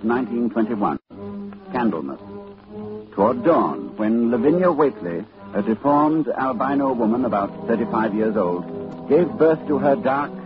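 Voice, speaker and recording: An actor playing a grave, urgent professor narrating horror in a formal, portentous 1940s radio style. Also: male voice, medium pitch, close to an everyday voice. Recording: muffled 1940s radio recording.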